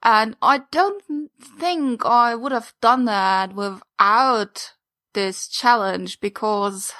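A woman's voice: fairly high pitch, slow pace, pushed and bright. A woman sharing an informal personal account in a recorded message for a podcast. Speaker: podcast listener giving recorded feedback.

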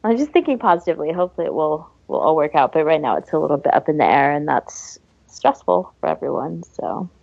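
A woman's voice: deep voice, big pitch swings, fast, vocal fry, darkish tone, breathy edge, no echo. A woman co-hosting a podcast in casual chat, on a dry, slightly dull recording. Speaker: podcast co-host.

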